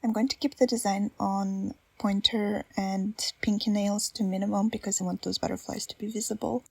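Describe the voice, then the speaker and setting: Woman, Russian accent, gentle ASMR voiceover, a touch of vocal fry, dry close mic, self-deprecating. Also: medium pitch, steady tone, normal speed, no echo. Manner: soft, self-deprecating, gently chatty with little laughs. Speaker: nail art creator. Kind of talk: soft-spoken ASMR voiceover.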